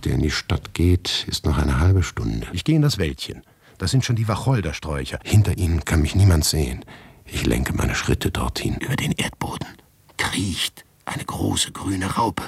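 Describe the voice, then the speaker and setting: Anguished writer, low and husky. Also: male voice, no echo, full character voice. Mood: resigned, subdued. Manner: measured, deliberate, inward, shifting into whispers. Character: anguished, paranoid male writer telling his grotesque misadventure. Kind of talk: literary radio monologue, first-person narration.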